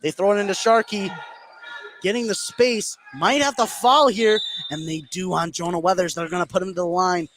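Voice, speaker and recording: A man's voice: high voice, fairly even intonation, normal speed, fairly bright tone. An American man giving live play-by-play commentary as a basketball announcer.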